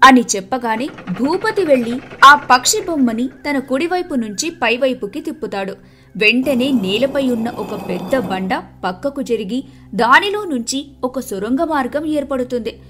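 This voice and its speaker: Bright high-voiced woman narrator. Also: moderate pace, faint music behind. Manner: steady, clear storytelling. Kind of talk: scripted storytelling narration.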